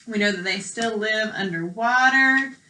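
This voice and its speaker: Bright-voiced woman teaching young children. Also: medium pitch, measured pace, a little hiss or hum, poor audio. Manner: explanatory, child-directed, with simple wording and questions. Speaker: elementary school teacher. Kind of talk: read-aloud lesson for young children.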